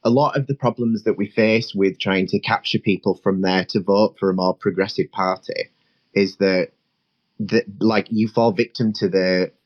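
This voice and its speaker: A man's voice: on the low side, steady tone, normal speed, dry recording, highs cut off. Chatty man calling in. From rural northern England. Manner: chatty, frequent "like" fillers. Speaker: caller. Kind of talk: casual call-in chat.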